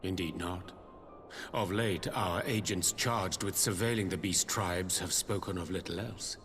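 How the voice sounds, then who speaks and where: Game scholar: grave, archaic and formal, lowish pitch, echoing hall sound with music behind. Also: male voice, steady tone, measured pace, a bit bright. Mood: grave, pensive. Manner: formal archaic diction, pensive. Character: grave archaic-speaking male scholar, courtly and measured. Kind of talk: video game voice acting. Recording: long cavernous reverb, music underneath.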